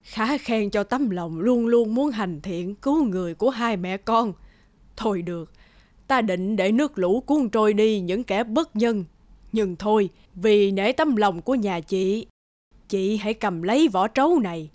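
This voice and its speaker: A frail old beggar woman, acted by a woman in a cartoon folk tale. She speaks slowly and humbly, with halting pauses and a pleading manner. The sound is dry and close. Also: mid-range voice, a little mellow, no room sound, close to an everyday voice. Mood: solemn. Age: older. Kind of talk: animated folk-tale acting.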